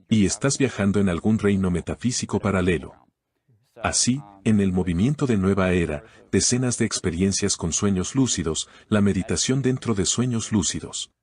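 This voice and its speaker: A man from Canada speaks as an interview guest, his voice sitting very low. He lays out his answers in a calm, methodical way, defining terms step by step.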